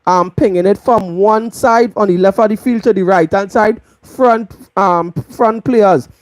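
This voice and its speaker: A woman with a Trinidadian accent reviews a football match on her live channel, taking her time and drawing out her words. She keeps repeating key phrases to drive her point home. Her voice sits at a middle pitch and sounds dry and close. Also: measured pace, a little mellow, no echo. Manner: emphatic, repeats points for stress.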